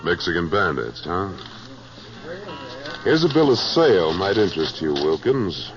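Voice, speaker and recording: A man's voice: sits low, big pitch swings, a little slow, music in the background. An actor playing a tough, self-assured western cattleman with an American accent in a radio drama, terse and unflinching. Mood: coolly accusing. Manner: terse, unflinching, plain-spoken. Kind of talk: 1950s radio western acting, first-person narration and dialogue. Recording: dull 1950s radio recording.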